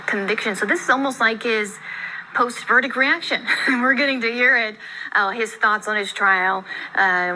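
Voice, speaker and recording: A woman with an American accent and a very bright, forceful voice hosts a live news discussion. Her delivery is conversational and a little wry, at a middle pitch. There is clear noise behind her voice. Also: rough recording. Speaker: TV news show host.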